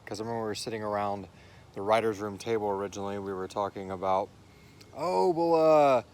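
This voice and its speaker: A man's voice: lowish voice, brisk, a little mellow. An American man co-hosting a casual question-and-answer chat in an informal, rambling way. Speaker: co-host and writer of a horror fiction series. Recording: clear background noise and ambience.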